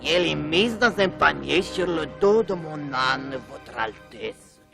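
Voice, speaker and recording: A man's voice: fairly high pitch, lively intonation, takes it slowly, gravelly, loud music behind the voice, exaggerated voice. A man playing a poor, honest water carrier in a French cartoon dub, plaintive and full of exclamations. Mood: pleading.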